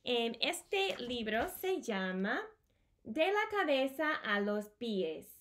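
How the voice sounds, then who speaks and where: A woman in her thirties reading aloud to young children in an animated way, with simple repeated phrases. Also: a little high, extremely slow. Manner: animated, simple repeated phrases, switches between Spanish and English. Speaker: children's storytime host. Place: small home room with bookshelves.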